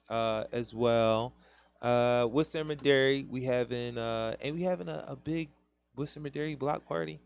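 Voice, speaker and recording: A man's voice: mid-range voice, clean tone. A man with a Philadelphia accent hosting a casual internet radio talk show, rambling loosely and laughing often. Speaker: podcast host.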